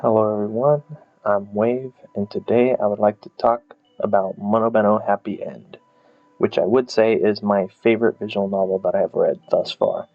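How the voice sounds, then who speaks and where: A man's voice: fairly low pitch, steady tone, normal speed, no echo. A man giving a casual, unscripted review as a video creator, rambling and hesitant with many fillers. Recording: slightly dull, lo-fi voice track. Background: faint music.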